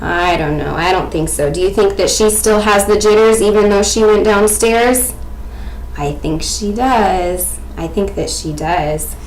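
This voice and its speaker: A woman in her twenties presenting like a teacher, expressive and questioning, as in an interactive read-aloud. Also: mid-range voice, a little slow. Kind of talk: interactive picture-book reading and explanation for children. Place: small domestic room indoors. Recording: echoey room, faint background noise.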